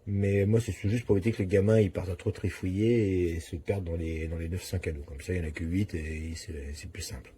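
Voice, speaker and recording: A man's voice: low-pitched, fairly even intonation, fairly quick, hardly any pauses, dark tone. A man giving a casual, unscripted product review, talking colloquially and thinking aloud as he demonstrates.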